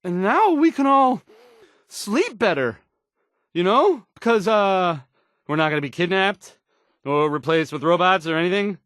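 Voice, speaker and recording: A man's voice: high-pitched, lively intonation, measured pace, a bit bright, no room sound, clean studio sound. An actor playing an awkward young male radio newscaster in a video game, nervous and hesitant, stammering and trailing off. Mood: nervous amazement. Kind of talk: in-game radio news acting.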